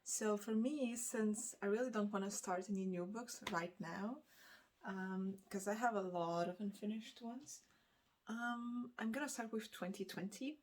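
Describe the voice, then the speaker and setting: A woman's voice: medium pitch, a little slow. A woman from Lithuania, a native Lithuanian speaker, chatting casually and unscripted to camera as a book vlogger, rambling and correcting herself hesitantly as she goes.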